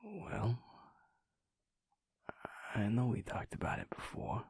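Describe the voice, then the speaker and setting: A young man playing an affectionate, cocky werewolf boyfriend in an intimate audio roleplay, casual and sweary, with a husky, hushed voice. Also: medium pitch, big pitch swings, takes it slowly, no echo, full character voice. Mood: hesitant, earnest. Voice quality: husky, breathy, often near a whisper. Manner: casual, swearing, chuckling, trailing hesitations.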